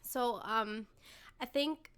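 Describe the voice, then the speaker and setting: Casual female podcast host. High, smooth voice; informal, giggly delivery with loose swearing. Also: drawn-out words, a bit bright. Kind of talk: casual chat.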